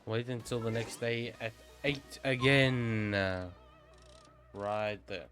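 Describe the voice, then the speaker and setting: A male gaming streamer with a middling pitch talks casually over his play. He drops slow, offhand reactions, half to himself, with faint game music in the background. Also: fairly even intonation, fairly bright tone. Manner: offhand muttered reactions, hums to self. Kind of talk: casual gameplay commentary.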